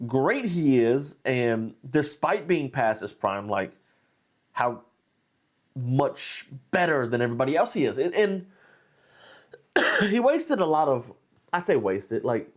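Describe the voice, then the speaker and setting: A man hosting a live stream, talking casually and rambling through fight analysis. He is under the weather and sounds nasal and stuffy, with plenty of fillers and restarts. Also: mid-range voice. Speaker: host.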